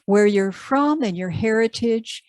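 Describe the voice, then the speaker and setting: A woman hosting an interview, asking gentle questions and affirming her guest. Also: on the high side, animated, measured pace, dry recording. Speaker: interview host. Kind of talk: warm encouraging interview.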